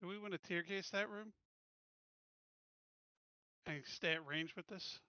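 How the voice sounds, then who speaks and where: Higher-voiced man chatting at a game. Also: unhurried, bright, forceful tone, clear, resonant tone, no room sound, dull audio. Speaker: tabletop game player. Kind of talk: casual game chat.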